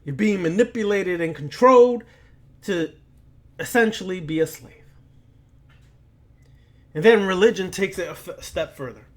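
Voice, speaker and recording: Higher-voiced man giving an emphatic lecture. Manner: emphatic, deliberate delivery with repetition and rhetorical questions. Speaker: occult lecturer. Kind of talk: preaching-style lecture.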